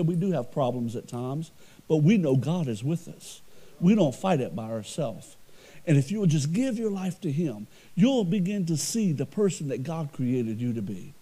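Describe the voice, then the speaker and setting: A middle-aged man preaching a sermon as pastor, with a loud, carrying voice and an earnest, conversational delivery full of restarts. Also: medium pitch. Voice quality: loud voice that carries.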